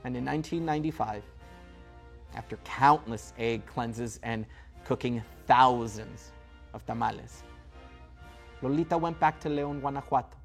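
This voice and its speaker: A man performing theatrical spoken word, telling a story with a dramatic rhythm and sharp swings in loudness. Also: medium pitch, measured pace, vocal fry, pauses often, soft background music.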